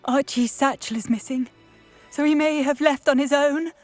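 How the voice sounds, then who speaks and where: A woman's voice: sits high, steady tone, normal speed, darkish tone, soft background music, lightly acted. An actress playing a tearful, fearful, newly widowed mother in a video game. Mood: anxious, tearful. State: tearful, close to crying. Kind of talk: video game character acting.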